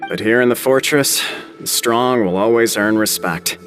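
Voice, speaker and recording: An actor playing a guarded, plain-spoken prison gang member in a video game. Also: male voice, mid-range voice, normal speed, a bit bright, soft background music, close to an everyday voice. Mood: admiring. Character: guarded male prison gang member, tough, admiring follower.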